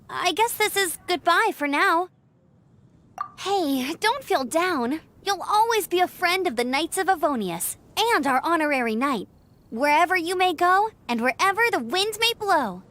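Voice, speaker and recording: A woman's voice: extremely high pitch, lively intonation, unhurried, a bit bright, exaggerated voice. A woman playing a cheerful, encouraging young female knight in a video game, speaking in a friendly, upbeat manner. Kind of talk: video game character acting.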